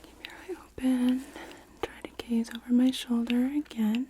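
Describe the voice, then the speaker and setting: A woman whispering softly in an ASMR roleplay as an optometrist, giving gentle, unhurried step-by-step instructions close to the microphone. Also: fairly high pitch, little pitch movement, a little hiss or hum, rough recording. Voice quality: soft, breathy whisper. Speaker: ASMR performer playing an optometrist. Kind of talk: ASMR roleplay of an eye exam. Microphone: close microphones on stands with reflection shields.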